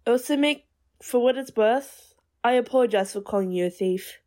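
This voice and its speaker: A woman's voice: a little high, moderate pace, warm tone, close to an everyday voice. A woman playing a stubborn, hot-headed young woman with powers in an audio drama. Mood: apologetic.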